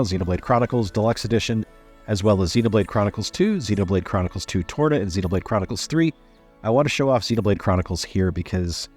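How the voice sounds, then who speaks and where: A man's voice: on the low side, a little mellow, slightly rough. A man hosting a game review voice-over, congested from a sinus infection and talking with gushing enthusiasm. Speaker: game channel host.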